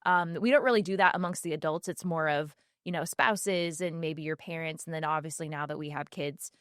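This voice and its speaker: A woman's voice: deep voice, brisk, a bit bright, dry recording, clean studio sound. A woman chatting casually as a panel member on a TV morning show. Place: TV studio.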